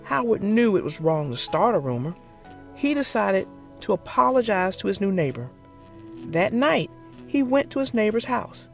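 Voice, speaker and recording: A narrator reading a children's story aloud in an expressive, theatrical and deliberate way. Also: medium pitch, gravelly, faint music behind. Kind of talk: expressive scripted read-aloud for young children.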